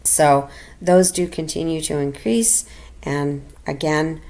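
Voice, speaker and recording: A middle-aged woman narrating a personal finance video as a voiceover, slightly raspy from illness, halting with many ums and restarts. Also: low voice, steady tone, dark tone. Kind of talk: casual voiceover narration over a screen recording. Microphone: home voiceover mic.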